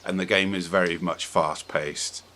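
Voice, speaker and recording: A man's voice: sits low, steady tone, a little slow, pushed and bright. A man hosting a podcast, chatting casually about films and games in a tentative, rambling way, thinking aloud as he goes. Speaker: podcast host. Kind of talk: casual film and game chat. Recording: clearly audible background noise.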